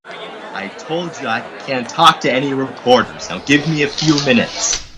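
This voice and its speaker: A man's voice: medium pitch, lively intonation, moderate pace, crowd noise, noisy background, natural voice. A young man acting a harried, brusque, exasperated advertising boss in a radio suspense play. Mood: frantic, angry. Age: young adult. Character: harried, irascible male advertising agency boss.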